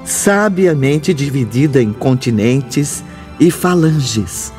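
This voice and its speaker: A man narrating a scripted drama in a solemn, deliberate manner. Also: medium pitch, animated, darkish tone, faint music behind.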